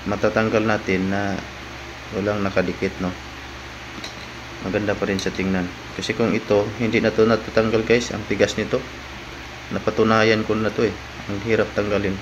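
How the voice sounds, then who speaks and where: A man giving a casual, halting unboxing narration as a vlogger, often tagging phrases with a questioning word. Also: on the low side, background noise.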